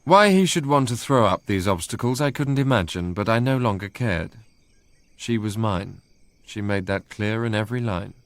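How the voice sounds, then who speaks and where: A brooding, low-voiced young Englishman narrating. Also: lively intonation, dry recording. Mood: intent, troubled. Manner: literary, measured storytelling. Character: brooding, proud young Englishman recounting his ordeal. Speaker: first-person narrator. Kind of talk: dramatic radio drama narration.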